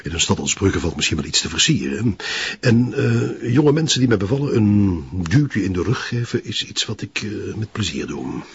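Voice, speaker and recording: An actor playing a jovial, fawning, middle-aged Flemish police commissioner in a TV drama, speaking hesitantly and self-importantly with little chuckles. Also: male voice, on the low side, very animated, moderate pace, husky, exaggerated voice. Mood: oily, obliging. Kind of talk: naturalistic TV drama acting. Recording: dull band-limited TV audio.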